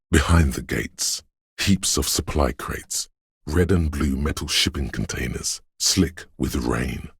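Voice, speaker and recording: A man voicing a brooding inner voice that senses a rainy city, narrating a video game in a slow, solemn, poetic and deliberate way with a gravelly, husky tone. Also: a bass voice, dry recording, clean studio sound, full character voice. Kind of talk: scripted atmospheric second-person narration.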